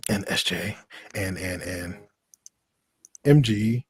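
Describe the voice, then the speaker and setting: A man's voice: sits low, animated, drawn-out words, breathy edge, no echo, studio quality. A man co-hosting an open chat show, talking casually with lots of laughter and restarts. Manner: laughs often, rambling restarts. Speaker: podcast co-host.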